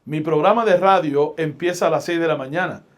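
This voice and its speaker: A middle-aged man from Puerto Rico with a Puerto Rican accent, a pastor and radio host telling stories in a podcast interview with a deliberate, emphatic preaching delivery. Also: on the high side. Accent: Puerto Rican Spanish.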